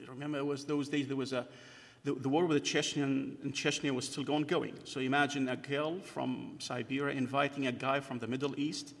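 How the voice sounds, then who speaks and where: A man's voice: medium pitch, flat delivery, brisk, hardly any pauses. A middle-aged man from northern Iraq giving a hesitant personal testimony at church, with many fillers and restarts. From Kurdistan, northern Iraq. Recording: long hall reverb.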